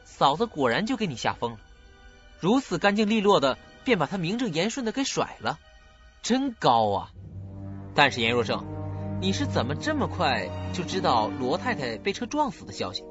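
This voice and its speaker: An actor playing a bitter, sarcastic younger sibling making accusations in a radio drama. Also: male voice, fairly high pitch, wildly swooping pitch, moderate pace. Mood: bitter, accusing. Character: bitter younger sibling confronting a scheming older brother. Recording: muffled dull recording with loud music.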